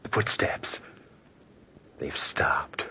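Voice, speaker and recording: An actor playing a nervous, haunted, obsessive nephew in a 1940s radio drama, halting and intense. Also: male voice, whispered, exaggerated voice. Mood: tense, hushed. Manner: halting, intense, dropping into hushed breathy phrases. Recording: dull, noisy 1940s radio recording.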